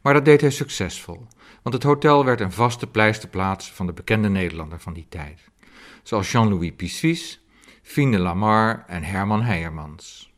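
A man's voice: fairly low pitch, animated, normal speed. A man, hosting a podcast and narrating a scripted introduction. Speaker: podcast host and interviewer.

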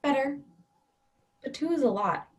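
A woman reading a calm, matter-of-fact person. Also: high-pitched, measured pace. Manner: matter-of-fact, plain, flatly agreeing. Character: calm adult person who rearranged room. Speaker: actor reading first character. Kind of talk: readers theatre play reading.

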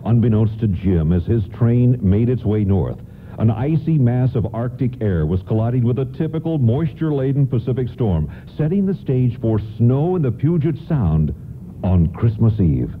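A man's voice: medium pitch, animated, moderate pace, a little hiss or hum, close to an everyday voice. A man performing as a storytelling narrator and announcer in a scripted radio drama. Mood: measured, storytelling. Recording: narrow phone-like radio sound.

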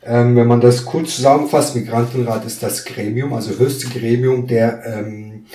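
A man in his thirties, a podcast guest, answering earnestly and haltingly with many fillers. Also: medium pitch, steady tone, warm tone, slight background noise. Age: 30s. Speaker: podcast guest, council member. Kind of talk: casual interview answers. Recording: roomy echo, mic at a distance.

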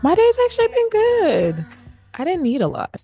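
A woman's voice: very high-pitched, wildly swooping pitch, normal speed, dark tone, soft background music. A woman chatting casually as a guest on a talk show, conversational and peppering her sentences with "like". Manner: conversational, uses "like" very often. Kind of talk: casual chat.

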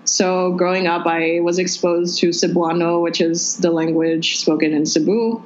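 A young woman from the Philippines, telling her own story in a relaxed, chatty way with many "like"s. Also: measured pace. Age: young adult. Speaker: interviewee. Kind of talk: casual chat. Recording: muffled low-fidelity audio.

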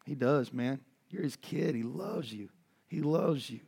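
A man's voice: medium pitch, animated, measured pace, creaky, darkish tone, slightly rough. A middle-aged man preaching in a conversational, earnest way, his voice worn from overuse, with many fillers and restarts. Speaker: pastor. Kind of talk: sermon.

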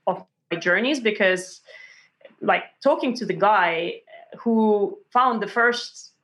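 Woman telling stories in an interview, animated, lots of restarts and ums, mid pitch. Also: slow pace, low-quality recording. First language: Russian. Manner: animated, many restarts and fillers, acts out quotes. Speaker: author guest. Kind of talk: conversational interview storytelling.

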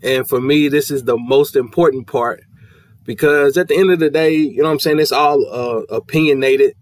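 A man from Kentucky hosting a podcast, talking in a relaxed, conversational way. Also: medium pitch, moderate pace, dry recording, clean studio sound. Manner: laid-back, conversational with fillers. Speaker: podcast host. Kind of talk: casual podcast chat.

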